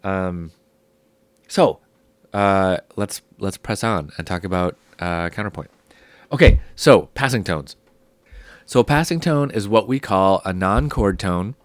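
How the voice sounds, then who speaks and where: Man teaching theory while sick, low rough voice, relaxed ums, clean and dry. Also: dry recording. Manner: relaxed, thinking aloud with many ums. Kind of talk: casual spoken lesson.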